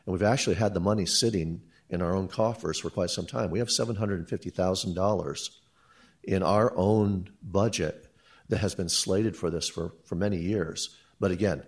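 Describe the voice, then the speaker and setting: Deep-voiced man at a meeting mic. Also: moderate pace. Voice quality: loud voice. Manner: plainspoken, explanatory, with restarts. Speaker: town engineer. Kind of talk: explaining at a public meeting. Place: public meeting room. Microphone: handheld microphone.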